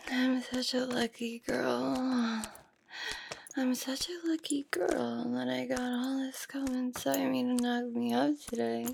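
A woman playing a submissive, pleading female boss in an intimate erotic audio roleplay, breathy and moaning, often slipping into whispers. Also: high voice, little pitch movement, slow, darkish tone, slight background noise, exaggerated voice. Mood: blissful, sated. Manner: breathy moans, drifting into whispers. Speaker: performer of adult audio roleplay.

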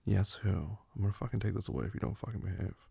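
A man playing a dominant, teasing lover in an intimate audio roleplay, murmuring in a breathy, husky voice that often drops to a whisper. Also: lowish voice, no echo, exaggerated voice. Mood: firm, controlled. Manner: slow, murmured, commanding, with soft hums.

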